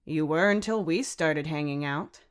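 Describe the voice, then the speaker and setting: A woman's voice: low voice, moderate pace, clean tone, natural voice. A woman voicing a cheeky teenage boy in an audiobook story, casual and teasing.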